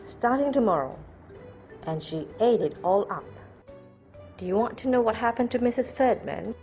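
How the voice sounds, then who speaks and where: A woman storyteller reading a children's picture book aloud in an expressive style. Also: on the high side, moderate pace, soft background music.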